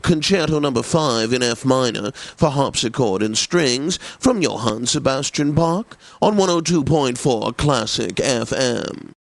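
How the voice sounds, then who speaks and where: A man playing a pompous, refined classical radio DJ in a video game, presenting with affected, deliberate enunciation and droll gentility. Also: medium pitch, dry recording.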